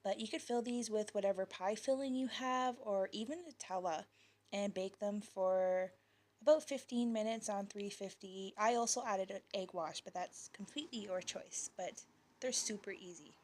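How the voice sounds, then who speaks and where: A woman's voice: mid-range voice, moderate pace, darkish tone. A young woman talking casually about her kids' lunches, chatty with small laughs, her voice slightly worn. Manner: chatty, laughs lightly, fillers like um and uh. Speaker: parent showing kids' lunches. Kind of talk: casual voice-over vlog.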